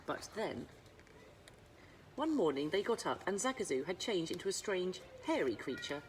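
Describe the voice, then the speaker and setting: A woman narrating a children's storybook as a librarian would, with dramatic pauses and emphasis. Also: lowish voice, sing-song, slight background noise, low-quality recording. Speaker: school librarian reading aloud.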